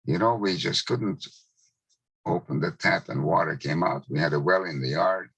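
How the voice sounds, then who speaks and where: Man in his 90s, Hungarian native speaker, very low voice, gravelly, husky, dry close sound, slow measured telling. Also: a bit bright, no echo, rough recording. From Czechoslovakia. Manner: slow, measured recollection with pauses. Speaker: Holocaust survivor speaker. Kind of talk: testimony talk.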